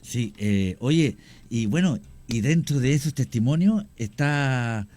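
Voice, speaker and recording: A man, a radio guest explaining his cemetery work at length, often pausing with fillers. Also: medium pitch, lively intonation, a little mellow. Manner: explanatory, hesitant with many fillers. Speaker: guest, cemetery tour creator. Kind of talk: radio interview.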